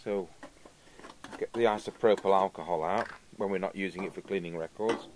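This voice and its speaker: Low-voiced man musing at his workbench. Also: moderate pace, darkish tone, slight background noise. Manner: musing aloud to himself while working. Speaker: radio repair video host. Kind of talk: casual hands-on workbench commentary. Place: indoor workbench, overhead camera.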